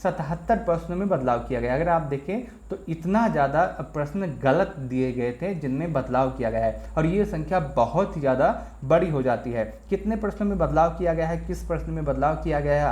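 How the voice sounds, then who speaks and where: In an echoey room, a presenter talks at a middling pitch. The delivery is an informal explainer, speaking straight to listeners and going back over points to make them clear. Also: a little mellow. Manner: explanatory, addresses listeners directly, repeats points. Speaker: exam news presenter. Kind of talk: informal explainer on exam results.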